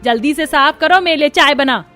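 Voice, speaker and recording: A woman voicing a scolding, scheming middle-aged mother-in-law in an animated moral story, with a nagging, melodramatic delivery. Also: high-pitched, brisk, soft background music. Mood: angry scolding.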